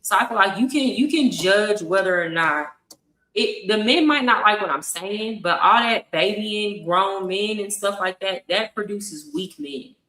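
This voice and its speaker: A woman's voice: mid-range voice, lively intonation, measured pace, a bit bright. A woman talking bluntly and emphatically in a casual opinion chat, with slangy phrasing. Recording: echoey room.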